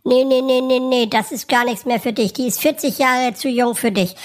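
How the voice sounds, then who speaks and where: A woman's voice: high-pitched, steady tone, moderate pace, natural voice. A woman voicing a cheeky, boastful six-year-old boy in a comedy sketch, who talks in childish, mangled grammar. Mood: mocking.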